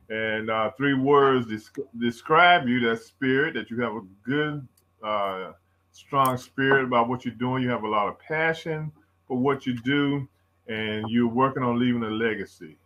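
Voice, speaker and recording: Radio host guy rambling along with lots of uh's, bright forceful voice, kinda dull lo-fi sound. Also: medium pitch. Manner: chatty, rambling, lots of fillers. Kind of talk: casual radio interview.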